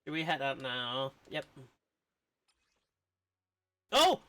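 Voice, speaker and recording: A man casually commentating on a video game as he streams, reacting aloud and excitably over game music. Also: medium pitch, extremely slow. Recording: music behind the voice.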